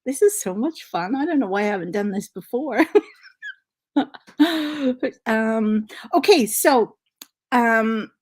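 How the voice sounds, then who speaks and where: A middle-aged woman from Liverpool with a Canadian accent and faint Liverpool traces, hosting a casual knitting live stream and chatting excitedly with many fillers. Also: fairly high pitch, slow pace, long pauses, husky. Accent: Canadian English with faint Liverpool traces. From Liverpool, England. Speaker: knitting channel host. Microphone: laptop mic.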